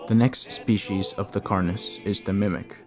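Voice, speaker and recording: A man reading scripted explainer narration on horror lore in a measured, expository way. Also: lowish voice, fairly even intonation. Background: background music.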